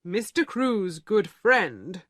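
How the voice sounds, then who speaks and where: A woman voicing a calm, blunt male lawyer who speaks in a flat, matter-of-fact way. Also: mid-range voice, very slow, no room sound, clean studio sound, heavily acted. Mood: grave.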